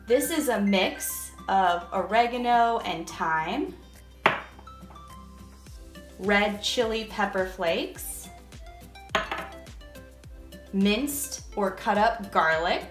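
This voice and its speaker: A woman's voice: mid-range voice, a bit bright, roomy sound. A young woman in her home kitchen, walking children through a soup recipe step by step in a friendly, instructing way. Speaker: cooking teacher. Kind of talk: kids' cooking lesson.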